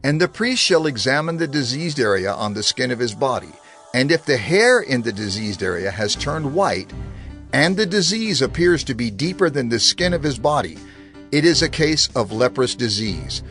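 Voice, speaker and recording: A man reads scripture aloud in a solemn, measured way, his mid-pitched voice swinging widely through each phrase. Faint music plays softly beneath him. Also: big pitch swings. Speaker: Bible narrator.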